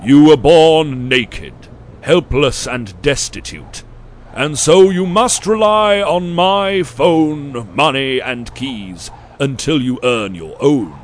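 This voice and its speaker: A man putting on a croaking, booming sun elder. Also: animated, slow. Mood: preaching. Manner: booming, theatrical proclamations. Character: towering sunflower-like male elder, grandiose and coaxing. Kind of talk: storybook reading.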